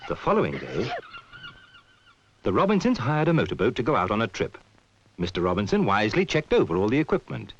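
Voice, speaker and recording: A man's voice: on the low side, huge pitch swings, brisk, long pauses, breathy edge. A British man narrating a 1970s public information film, telling a story in an instructive way. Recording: muffled, noisy 1970s film soundtrack.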